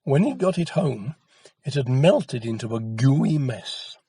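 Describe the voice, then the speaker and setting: Male folktale narrator for children: measured, expressive, husky, recorded close and dry. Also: medium pitch, dry recording. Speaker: storyteller.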